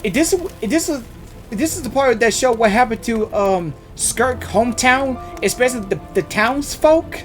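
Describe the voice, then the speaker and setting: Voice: mid-range voice, lively intonation, moderate pace, faint music behind. A gaming host giving casual, off-the-cuff reactions, hesitant and repetitive while musing aloud.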